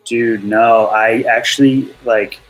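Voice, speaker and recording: A man, a music producer, chatting casually on a livestream in a flat, monotone voice, full of fillers. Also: mid-range voice, slow, rough recording. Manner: laid-back, uses many fillers.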